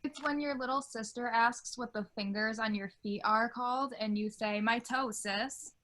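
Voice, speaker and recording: A woman reads as a doubting high school girl with a joky streak. Her pitch is a little high, in a plain amateur table read. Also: normal speed, fairly bright tone, lightly acted, poor audio. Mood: joking. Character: skeptical, joking female high school student.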